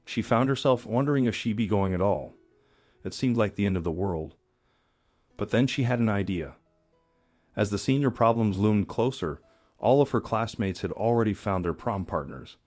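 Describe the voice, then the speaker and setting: A man's voice: on the low side, animated, normal speed, a little mellow, no echo, soft background music. A man reading scripted story narration in a dramatic storyteller manner.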